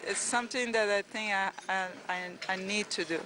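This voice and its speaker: A woman's voice: medium pitch, steady tone, normal speed, background noise. A woman from Iran on a pilgrimage, speaking hesitantly as she searches for words.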